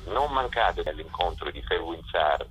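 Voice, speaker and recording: A man presenting an arts programme as its director, unscripted, halting and searching for words with drawn-out pauses, on a muffled, band-limited recording. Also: low voice, expressive, a bit bright, slightly rough. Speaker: festival director.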